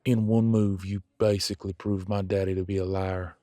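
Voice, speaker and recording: Man playing a low-voiced, proud, grudging werewolf. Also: flat delivery, dark tone, dry recording, natural voice. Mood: blunt, confessional. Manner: halting, blunt, grudging. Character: gruff proud male werewolf, reluctantly making peace with rival. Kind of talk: scripted audio roleplay drama.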